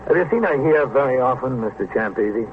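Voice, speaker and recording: An American man playing a stern police captain in a vintage radio crime drama, dry, measured and authoritative. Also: medium pitch, animated, lightly acted. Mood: terse, instructive. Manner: dry, authoritative, matter-of-fact. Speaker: police captain narrating his case. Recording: noisy, narrow-band old radio recording.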